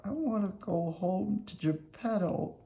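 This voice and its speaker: A man voicing a small, eager wooden puppet boy in a storybook, in a high childlike voice. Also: very animated, takes it slowly, slight background noise, exaggerated voice. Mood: sad.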